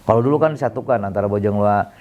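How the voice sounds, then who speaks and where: A middle-aged man from Bandung, explaining council work in an interview, pausing often with fillers and self-corrections. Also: fairly low pitch, a little mellow, highs cut off. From Bandung, Indonesia. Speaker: city council member, interview guest. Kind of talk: relaxed explanatory interview talk.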